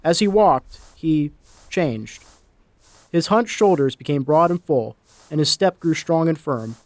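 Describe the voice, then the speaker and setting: Animated male storyteller, higher pitched. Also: unhurried, no echo. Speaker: storyteller, narrator. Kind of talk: dramatic scripted story narration.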